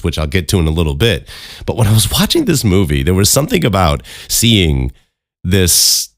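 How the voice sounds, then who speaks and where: A man's voice: deep voice, no echo. A man hosting a solo podcast in a casual, rambling, informal way, close to the microphone. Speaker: podcast host.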